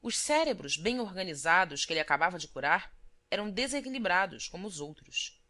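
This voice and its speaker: A woman reading a literary audiobook as narrator, in a formal, expressive manner. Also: fairly low pitch, fairly quick.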